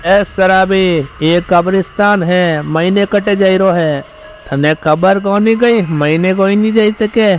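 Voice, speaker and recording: A man playing a blunt, scolding graveyard watchman with a Rajasthani accent in an animated horror story. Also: sits high, slow, slight background noise. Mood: stern. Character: gruff graveyard watchman guarding the gate.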